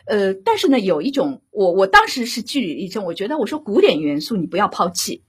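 Female director as panel guest. Strongly husky, expressive intonation, conversational explaining with frequent checking questions. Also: mid-range voice, brisk. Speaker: radio drama director and guest.

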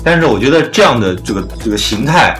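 A man's voice: medium pitch, lively intonation, quick pace, fairly bright tone. A man hosting a casual music podcast, talking through his opinions in an informal discussion.

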